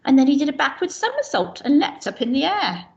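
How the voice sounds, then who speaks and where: Casting: woman teacher reading a storybook, very lively melody, fairly high voice, muffled lo-fi recording. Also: fairly quick, vocal fry. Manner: expressive rhyming storytelling, pointing out pictures. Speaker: infant school teacher. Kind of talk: reading a picture book aloud to children.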